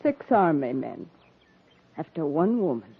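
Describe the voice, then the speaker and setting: An actress playing a bitter, defiant frontier widow in a 1950s radio western, scornful and sardonic with rustic speech. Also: female voice, deep voice, sing-song, measured pace, gravelly, husky, heavily acted. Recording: tinny, narrow 1950s radio recording.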